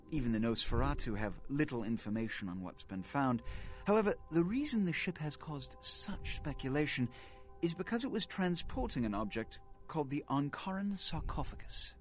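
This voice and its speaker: An actor playing a cold, formal vampire leader who speaks with precise, condescending diction. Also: male voice, mid-range voice, sing-song, moderate pace, faint music behind, close to an everyday voice. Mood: briefing. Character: cold, imperious vampire prince, smooth businessman.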